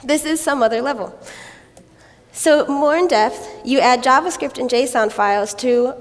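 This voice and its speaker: A woman speaks on a conference stage in a high voice that is raspy from a recent cold. She is playful and self-mocking and laughs often as she explains. The sound carries the long reverb of a hall. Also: unhurried. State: recovering from a cold. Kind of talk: tech conference talk.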